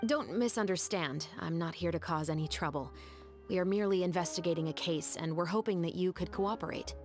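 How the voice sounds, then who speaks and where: A woman playing a serious, bookish patrol investigator in a video game, speaking in a measured, formal, earnest way. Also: lowish voice, a little mellow, faint music behind, close to an everyday voice. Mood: polite, probing.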